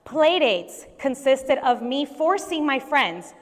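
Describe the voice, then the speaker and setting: A woman from Venezuela giving a conference keynote speech in a lively, animated way. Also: high-pitched, takes it slowly. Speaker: keynote speaker. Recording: long hall reverb.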